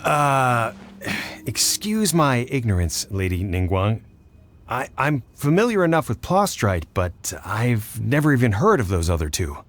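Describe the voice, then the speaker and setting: A man's voice: medium pitch, big pitch swings, unhurried, a little hiss or hum. A man voicing a shrewd, eager male merchant who talks in a keen, scheming way. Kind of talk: game voice acting.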